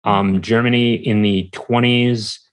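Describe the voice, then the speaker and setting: A man with a fairly low voice talks casually as a podcast guest. His delivery is conversational and halting, as he often stops to search for the right words. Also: fairly even intonation.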